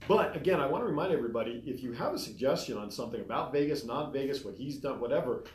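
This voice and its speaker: A man's voice: mid-range voice, racing, reverberant, slight background noise. A man co-hosting a talk show, chatting casually and reading through a list of topics. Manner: lively, reads through a list. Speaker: podcast co-host. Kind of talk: casual chat. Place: small studio.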